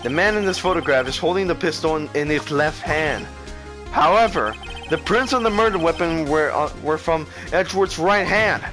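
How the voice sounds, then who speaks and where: A man's voice: a little high, moderate pace, music in the background, natural voice. A man voicing a nervous, earnest young defense lawyer from a courtroom video game, hesitant yet dramatic. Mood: confident. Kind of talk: video game voice-over reading.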